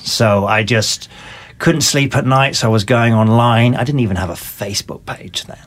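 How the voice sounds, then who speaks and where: A man's voice: medium pitch, normal speed, fairly bright tone. A man in his forties from England, with an accent mixing northern and southern English, chatting as a podcast guest with dry, self-deprecating humor. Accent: English, mix of northern and southern. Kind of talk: casual podcast chat.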